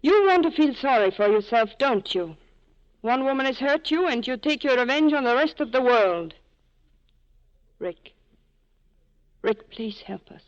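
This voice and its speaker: High-voiced desperate woman, muffled old radio. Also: lively intonation, a little slow, pauses often. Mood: desperate reproach. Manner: earnest, dramatic pleading. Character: desperate, torn woman pleading with former lover. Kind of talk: 1940s radio drama acting.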